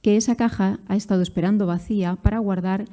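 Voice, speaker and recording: A woman presents a prepared academic paper. Her voice is extremely mellow, with little edge, and sits at an average height for a woman. The phrasing is formal and literary, clearly read a script. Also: medium pitch, fairly even intonation, quick pace. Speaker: academic conference speaker.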